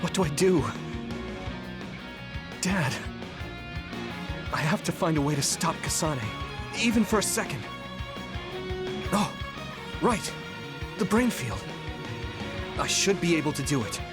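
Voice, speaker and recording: A man's voice: on the high side, animated, slow, long pauses, over music. An actor playing an earnest young male soldier in an emotional, self-questioning manner for a video game. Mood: anxious resolve. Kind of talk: English video game voice acting.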